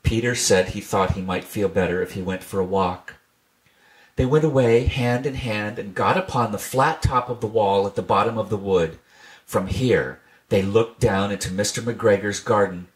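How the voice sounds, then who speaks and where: A man reading a classic children's storybook aloud in a gentle, measured storytelling manner. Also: fairly low pitch, rough recording. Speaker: reader.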